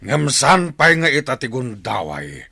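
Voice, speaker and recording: A man playing a cruel, taunting captor chief in a radio drama, gravelly and deliberate. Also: medium pitch, big pitch swings, pushed and bright, no echo, heavily acted. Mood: taunting threat. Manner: taunting, slow and deliberate, laughing mockingly. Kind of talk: Filipino radio drama acting.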